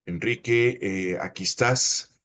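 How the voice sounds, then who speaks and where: Deep-voiced moderator on a call. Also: male voice, slow pace. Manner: effusive, warm thanks, many fillers. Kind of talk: panel moderating. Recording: faint background noise.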